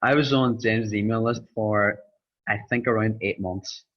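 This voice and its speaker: A young Irish man telling his story in an interview, pausing often with ums. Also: lowish voice, steady tone. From Ireland. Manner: hesitant, many ums, rambling. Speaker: interviewed student. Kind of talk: casual interview. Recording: dull lo-fi sound.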